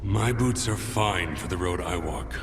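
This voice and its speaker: A stern, stoic commander in a video game, weary and grave. His voice is deep and very slow, with terse phrasing. It echoes, with loud music underneath. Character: stern, weary male military commander, stoic and guilt-laden. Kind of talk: dramatic video game cutscene acting.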